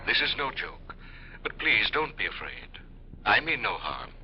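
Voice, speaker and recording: An actor playing an earnest, formal scientist from the distant future in 1950s radio drama style. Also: male voice, on the low side, big pitch swings, unhurried. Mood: earnest, reassuring. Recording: muffled, noisy 1950s radio recording.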